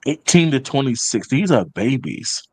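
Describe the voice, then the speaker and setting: A man gives his opinions in an informal discussion. His voice has an average pitch, and he presses his points insistently, saying phrases more than once. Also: very animated, moderate pace, breathy edge, dry recording. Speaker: talk show participant.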